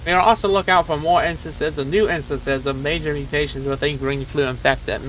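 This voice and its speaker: A man with a fairly high, bright, forceful voice hosts a casual reaction commentary. He speaks informally, sometimes reading text aloud. There is faint noise behind him. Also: steady tone, a little fast, low-quality recording. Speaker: host reacting to a horror video.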